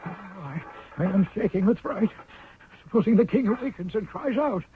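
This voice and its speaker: An actor playing an anxious, guilt-ridden accomplice to murder in a 1970s radio drama. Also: male voice, high voice, expressive, normal speed, a little hiss or hum. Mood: fearful. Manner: anxious, quavering. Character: frightened, guilt-ridden male accomplice, reluctant conspirator. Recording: narrow, tinny 1970s radio recording.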